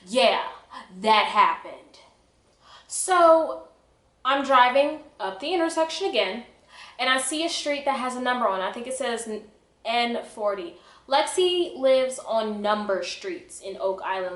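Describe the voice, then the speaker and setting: A woman's voice: on the high side, lively intonation, unhurried, a bit bright. A young woman telling a personal story in a casual vlog style, with a dramatic, emphatic, self-mocking delivery. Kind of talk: animated casual storytelling to camera. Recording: echoey, roomy sound.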